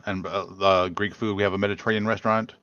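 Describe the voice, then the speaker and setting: A man's voice: deep voice, fairly even intonation, fast, sharp tone, lo-fi sound. A man co-hosting a casual online cooking chat, easygoing and hesitant. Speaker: co-host.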